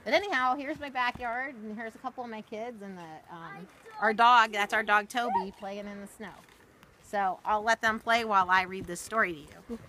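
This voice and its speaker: A woman's voice: medium pitch, moderate pace, sharp tone. A middle-aged woman reading aloud to children as a storyteller, recorded outdoors on a camera a short distance away. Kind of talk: read-aloud of a rhyming picture book with casual asides. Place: outdoors in a snowy backyard. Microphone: camera or phone a short distance away. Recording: outdoor phone video, faint background noise.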